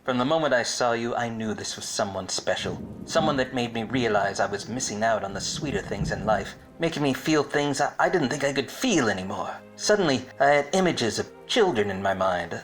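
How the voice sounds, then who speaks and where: An actor playing a wise-cracking, obtuse hard-boiled private eye in a parody of 1940s detective radio plays, with wordy deadpan delivery. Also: male voice, medium pitch, natural voice, rough recording. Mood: awkward earnest. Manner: deadpan, wordy noir narration.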